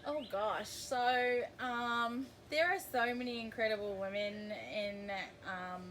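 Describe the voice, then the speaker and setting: Woman guest, mixed Kiwi and Aussie accent, bubbly, laughs often, fairly high voice, noisy recording. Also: takes it slowly, a bit bright. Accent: mixed New Zealand and Australian. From New Zealand, raised in Australia. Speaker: boudoir photographer, guest. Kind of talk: casual interview. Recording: noisy background.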